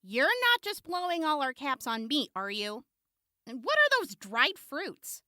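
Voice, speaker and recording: A careful, questioning traveler of the wasteland, played by a woman, has a high voice that swings widely. She speaks expressively and slowly in this audio drama. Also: big pitch swings, pushed and bright, no echo, clean studio sound, heavily acted. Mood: curious, wary. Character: exasperated, careful female wasteland traveler haggling over supplies. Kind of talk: post-apocalyptic audio drama acting.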